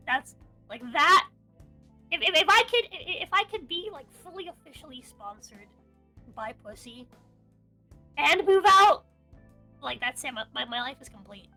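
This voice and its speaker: A young woman from the United States with Cuban parents and Spanish as her first language, chatting casually as an interviewed streamer in an excitable, sweary, giggly way. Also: sits high, moderate pace, pauses often, faint music behind. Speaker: online streamer being interviewed. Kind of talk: casual chat.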